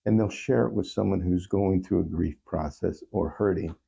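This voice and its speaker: A man's voice: deep voice, moderate pace, vocal fry, mellow, breathy edge. A man speaking earnestly as an interview guest, reflecting on his songwriting and repeating phrases for emphasis. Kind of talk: reflective interview talk about own songwriting.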